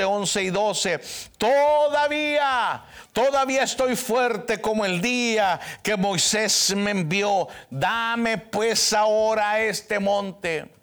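Fervent high-voiced preacher in his 60s. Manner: fervent preaching, swings from soft to loud emphatic. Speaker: pastor. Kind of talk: televised sermon.

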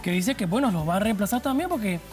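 A man with a high voice for a man hosts the interview, posing long, winding questions and chiming in with quick agreements. Faint music sits low in the background. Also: lively intonation, a little mellow. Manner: affirming, frequent "sí" and asides.

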